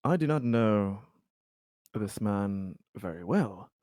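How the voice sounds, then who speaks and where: A man's voice: fairly low pitch, animated, slow, background noise. A man playing a tabletop game who talks playfully and laughs while he speaks. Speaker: player. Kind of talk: casual chat in a tabletop game.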